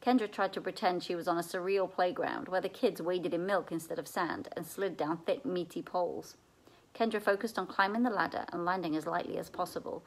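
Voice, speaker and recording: A woman reading a children's novel aloud in an expressive storytelling way, as at a library read-aloud. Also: on the low side, brisk, low-quality recording.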